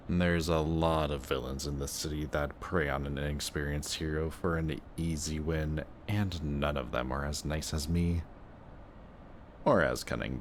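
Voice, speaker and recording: A man's voice: a bass voice, slight background noise. A young man playing a cocky, sarcastic antihero villain with a secretly kind streak, talking in casual, teasing banter in a roleplay audio drama. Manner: casual, sardonic, teasing banter with muttered asides.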